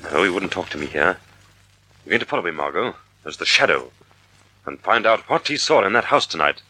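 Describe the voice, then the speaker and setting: Casting: confident radio detective gentleman, low bright voice, animated delivery, vintage recording. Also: a little fast, a little hiss or hum, rough recording. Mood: urgent. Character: decisive amateur sleuth, a confident gentleman. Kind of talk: 1940s radio drama acting. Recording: old radio drama recording.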